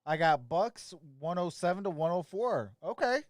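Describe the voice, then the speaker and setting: A man's voice: high voice, sing-song, moderate pace, no room sound, studio quality. A man hosting a sports livestream, mixing casual, friendly chat with viewers and informal play-by-play commentary. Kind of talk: casual live stream chat and game commentary.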